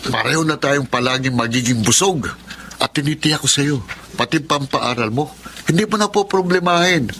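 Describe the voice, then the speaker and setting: A man's voice: fairly high pitch, moderate pace, background noise, close to an everyday voice. A man acting a cocky young hustler who taunts and coaxes in a Filipino radio drama. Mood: coaxing. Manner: taunting, coaxing.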